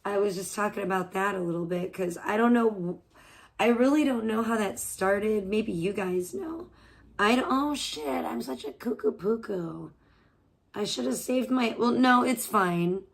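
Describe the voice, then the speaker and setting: Hoarse, rambling woman live-streamer. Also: mid-range voice. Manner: rambling, swears freely, laughs often. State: slightly hoarse voice. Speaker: livestreamer. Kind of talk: casual live-stream chat with viewers.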